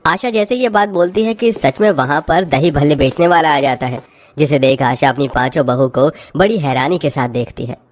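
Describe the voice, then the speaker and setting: A woman's voice: deep voice, moderate pace. A woman narrator reading a scripted cartoon story.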